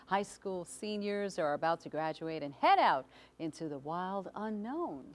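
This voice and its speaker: A woman's voice: fairly low pitch, lively intonation, a little slow. A woman with an American accent presenting and chatting as a TV news anchor. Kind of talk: studio presenting and chat.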